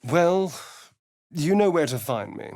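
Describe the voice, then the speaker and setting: Casting: foppish vampire spawn rogue, game companion. Disdainful theatrical drawl, wide expressive pitch swings, dry studio sound. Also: male voice, slow pace, gravelly, dry recording, exaggerated voice. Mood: arch, sardonic. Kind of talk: video game voice acting, companion dialogue and narration.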